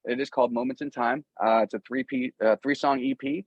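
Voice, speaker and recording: A man's voice: mid-range voice, fairly even intonation, fairly quick, dry recording, lo-fi sound. A man chatting casually as a musician being interviewed, in an earnest, rambling, slangy way.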